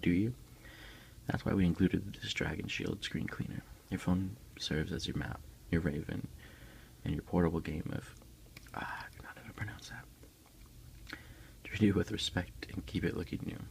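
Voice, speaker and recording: A man speaking as an ASMR host, soft-spoken and unhurried, in a casual style. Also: deep voice, gravelly. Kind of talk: whispered ASMR unboxing, reading aloud and chatting.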